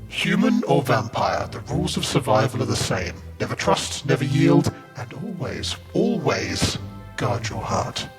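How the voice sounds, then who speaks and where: Male narrator, mid-pitched, dramatic scripted blurb read, clear music bed underneath. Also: sing-song, a little slow.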